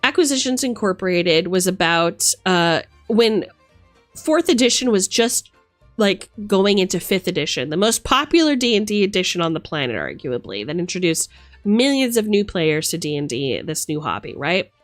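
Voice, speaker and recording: A woman's voice: fairly low pitch, big pitch swings, moderate pace, no echo. A woman streamer and game master delivering a casual, opinionated rant, emphatic, theatrical and sweary, close on the microphone. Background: music.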